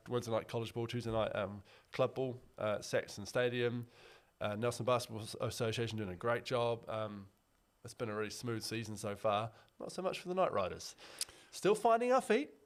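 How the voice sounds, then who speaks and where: A young man with a mid-range voice, talking in a casual and chatty way. He sounds upbeat and laughs easily as he co-hosts a sports podcast. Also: mid-range voice. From New Zealand. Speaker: podcast co-host.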